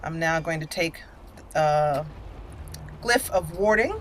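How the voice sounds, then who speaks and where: A woman's voice: low-pitched, animated, takes it slowly, a little hiss or hum. A woman giving casual let's play commentary, chatty and thinking aloud as she plays. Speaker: gamer narrating a video game roleplay playthrough. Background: game music behind the voice.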